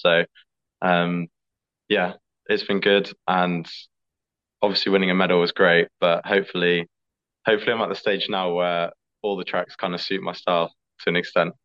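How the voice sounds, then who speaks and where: A young man, an athlete answering interview questions in a relaxed, chatty way. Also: deep voice. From England. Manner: relaxed, chatty, fillers like "like" and "sort of". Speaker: skeleton athlete. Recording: slightly dull lo-fi audio.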